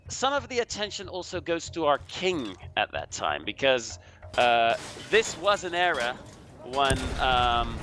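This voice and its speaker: A man's voice: on the high side, bright, forceful tone. A man gives casual commentary on history, hedging and thinking aloud as he goes. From Belgium. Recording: clearly noisy background.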